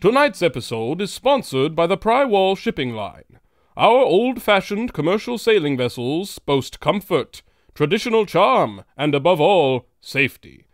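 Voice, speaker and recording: A man's voice: sits high, sing-song, unhurried, no echo, clean studio sound. A man announcing in the style of an old-time radio serial, scripted, grand and dramatic.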